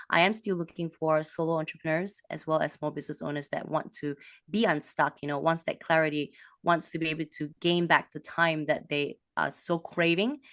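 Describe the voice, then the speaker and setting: Woman coach with a low voice, talks fast in spurts, dry close sound. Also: no room sound. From Malaysia. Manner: animated, quick bursts, self-correcting. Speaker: guest, business coach. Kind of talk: casual interview talk.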